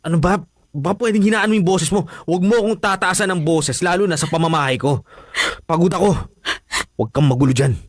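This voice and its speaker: An actor with a Filipino accent playing a cold, irritable boyfriend, curt and scolding, in a radio drama. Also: male voice, sits high, quick pace, dry recording, studio quality. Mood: dismissive, annoyed.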